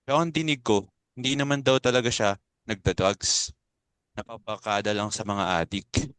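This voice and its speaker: Naive college son played by a man, lowish clean voice, dry sound, rambling drama delivery. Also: steady tone, moderate pace, fairly bright tone, smooth, no room sound, close to an everyday voice. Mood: confiding. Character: naive, sensitive young male college student, bullied son. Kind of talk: dramatic stage reading in Tagalog.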